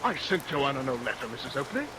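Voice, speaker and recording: An actor playing an earnest, wronged young suitor who speaks formally in a vintage radio melodrama. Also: male voice, medium pitch, sing-song, quick pace, pushed and bright. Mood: bewildered, indignant. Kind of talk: old-time radio melodrama acting. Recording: noisy vintage radio recording under rain sounds.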